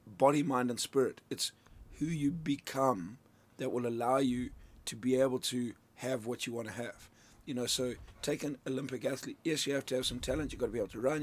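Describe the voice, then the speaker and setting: A middle-aged man from Zimbabwe, a sales coach talking through his ideas as a studio guest, loose and conversational with plenty of restarts. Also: mid-range voice. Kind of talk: radio interview, explaining ideas. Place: radio studio.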